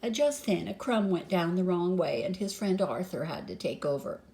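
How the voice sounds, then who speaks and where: A woman's voice: fairly low pitch, sing-song, moderate pace, creaky, warm tone. An older woman reading a children's story aloud expressively, with chatty asides to the listener. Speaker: storybook reader.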